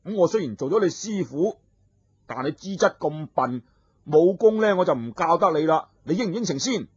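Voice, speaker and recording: A man's voice: on the high side, expressive, moderate pace, husky, no echo. Man doing a wily young scholar. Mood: teasing. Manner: bargaining, teasing, wily. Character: clever cheeky young male scholar. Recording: dull lo-fi recording.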